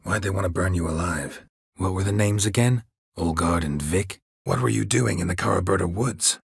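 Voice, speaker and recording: An actor playing a gruff, stoic, sardonic middle-aged monster hunter in a video game, a gravelly rasp and terse, deadpan delivery. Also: male voice, sits low, moderate pace, no room sound, studio quality, heavily acted. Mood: dry, questioning. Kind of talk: video game character dialogue.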